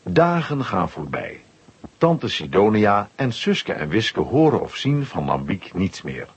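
Man, playful storyteller, animated intonation, very husky voice, children's audio drama narration. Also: lowish voice, a little slow.

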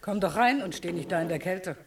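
A woman's voice: fairly low pitch, animated, fairly quick, noisy background. An actress playing a blunt, familiar village woman, an abandoned seaman's wife, in a German radio drama. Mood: brisk, inviting.

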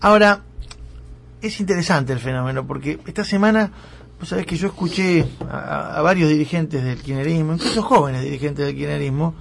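Husky, gravelly Argentine radio host. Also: male voice, fairly high pitch, fairly quick. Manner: probing, recaps facts with guest. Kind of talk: radio interview.

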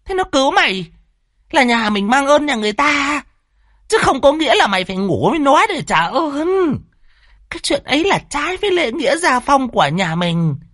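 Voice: high voice, big pitch swings, fairly bright tone, no room sound, studio quality, voice pushed up. A storyteller voicing a middle-aged village mother with a northern Vietnamese accent, scolding in hissed, wailing, badgering outbursts. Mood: stern, angry. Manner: hissing, rapid badgering questions, wailing exclamations. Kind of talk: dramatic audio storytelling.

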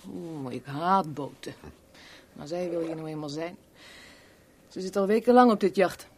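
A woman's voice: on the low side, normal speed, a little mellow, slight background noise, close to an everyday voice. Worldly, sardonic woman played by an actress in radio drama. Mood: wry, bored. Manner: wry, teasing, blunt. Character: worldly, sardonic kept woman, bored and frank. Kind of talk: 1970s Dutch radio play acting.

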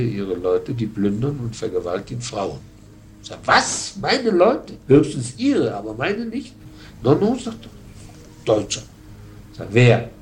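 An actor playing a callous old former SS officer in a radio docudrama, giving blunt, offhand testimony in clipped fragments. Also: male voice, fairly low pitch, a little hiss or hum.

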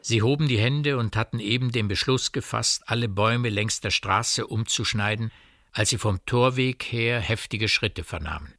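A man reading scripted literary narration for a radio play, telling the story in a measured way. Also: lowish voice, fairly bright tone, no room sound, studio quality.

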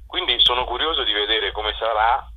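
A man's voice: medium pitch, brisk, breathy edge. A man giving reflective, hesitant answers in a casual interview, searching for words as he goes. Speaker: translator being interviewed. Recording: dull phone call, lo-fi radio sound.

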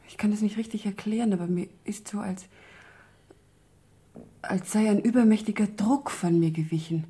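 An actress playing a subdued, troubled young woman in a German crime radio play, speaking softly and haltingly in a breathy, husky voice. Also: medium pitch, close to an everyday voice. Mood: sad, halting. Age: young adult. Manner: halting, soft-spoken.

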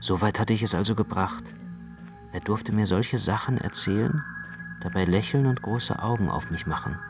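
A man's voice: on the low side, normal speed, over music, close to an everyday voice. A man narrating a radio play in the first person as an anxious, brooding literary storyteller.

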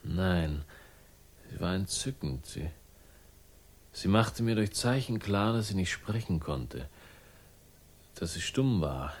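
Low-voiced, world-weary sardonic man. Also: animated, takes it slowly, long pauses, full character voice. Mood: dreamy, uneasy. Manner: terse, fragmented, wry. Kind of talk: 1960s German radio crime drama acting.

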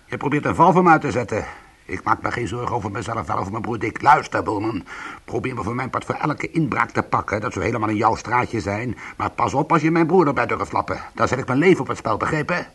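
A man's voice: mid-range voice, lively intonation, fast, fairly bright tone, husky. A man playing a bitter, sardonic small-time ex-convict in a Dutch radio play, protective and combative. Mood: angry, defiant. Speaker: lead actor and first-person narrator.

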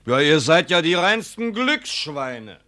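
Sneering, grasping farm heir, very animated. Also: male voice, on the high side, slow pace, dry recording, heavily acted. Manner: sarcastic, menacing, self-righteous. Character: grasping, mocking farm heir threatening debtors. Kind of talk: German radio play acting.

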